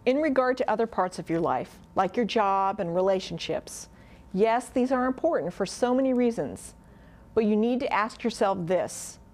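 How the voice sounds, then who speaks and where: A woman's voice: mid-range voice, expressive, moderate pace, a little mellow. A woman working as a college adviser delivers a scripted motivational talk addressed straight to the listener.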